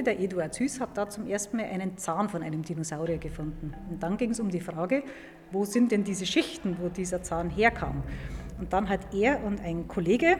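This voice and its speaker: A woman explaining science to a young listener in a clear, didactic way, stressing key words by repeating them. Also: medium pitch, darkish tone. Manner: clear, didactic, emphatic repetition. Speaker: museum paleontologist. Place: large echoing indoor museum hall. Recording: reverberant hall with background noise.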